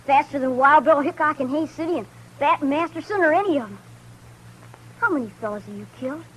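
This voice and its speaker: In a 1950s radio western, a cocky runaway farm boy dreaming of fame with a gun. His high voice rushes with eager boasts in rough country grammar. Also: expressive, slight background noise, low-quality recording. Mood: boastful.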